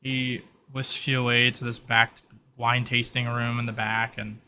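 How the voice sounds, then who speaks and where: A man acting as game master, improvising tabletop narration in a halting, informal way over background noise. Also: mid-range voice, flat delivery, bright, forceful tone.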